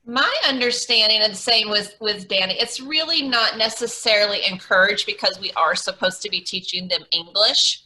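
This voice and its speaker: A woman's voice: medium pitch, moderate pace, hardly any pauses, sharp tone, rough recording. A woman hosting an online teacher meetup over a video call, talking in a chatty, rambling way with many fillers and restarts. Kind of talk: casual video call chat.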